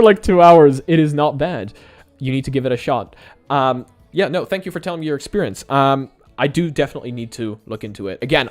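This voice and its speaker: A chatty man in his twenties, born in Poland with Polish as his first language, talks candidly to viewers on a live stream. His voice sits at a middling pitch and he laughs easily. Soft music plays faintly in the background. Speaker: YouTuber. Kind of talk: live stream chat, answering viewer comments.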